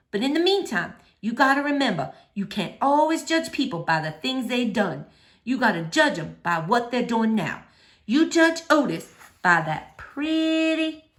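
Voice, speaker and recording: A woman reading a children's novel aloud, voicing a wise, kindly old woman in a folksy, gently firm manner. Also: on the high side.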